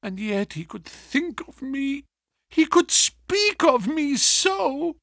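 A man voicing a distraught young wife, speaking in a high, breathy, trembling plea. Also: big pitch swings, slow pace, fairly bright tone, dry recording, studio quality, exaggerated voice. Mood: anguished.

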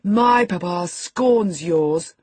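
A woman doing a whiny, sickly spoiled boy, husky. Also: medium pitch, animated, a little slow, a little mellow, no room sound, voice pushed up. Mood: spiteful, taunting. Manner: whiny, taunting, self-pitying. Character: peevish, sickly, whining spoiled teenage boy.

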